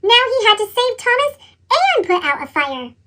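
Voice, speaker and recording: A woman's voice: very high-pitched, sing-song, moderate pace, bright, forceful tone. A woman reads a children's story expressively, her voice altered with a sped-up chipmunk effect. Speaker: storybook reader.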